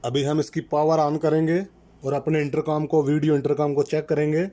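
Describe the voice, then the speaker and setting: A man presenting a methodical, step-by-step installation tutorial in an instructional way, repeating key terms to make them clear. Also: a little high, little pitch movement, normal speed, dark tone. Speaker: electrician presenting a how-to channel.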